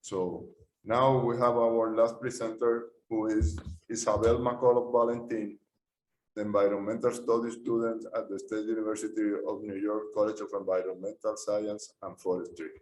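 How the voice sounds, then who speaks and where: A man's voice: on the low side, level tone, moderate pace, a little mellow. A middle-aged man moderating a panel, giving polite, brief introductions. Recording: roomy echo, faint background noise.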